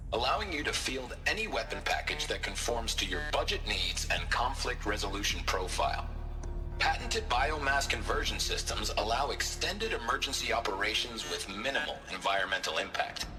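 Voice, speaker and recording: A man playing a slick, confident military hardware announcer in a video game, reading a punchy scripted sales pitch. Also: very animated, normal speed, over music, natural voice. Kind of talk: scripted promotional narration.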